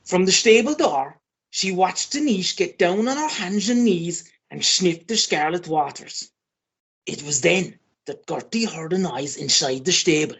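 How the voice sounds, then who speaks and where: A woman with a husky voice, narrating a scripted amateur radio play. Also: fairly low pitch, animated, unhurried, full character voice. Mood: storytelling.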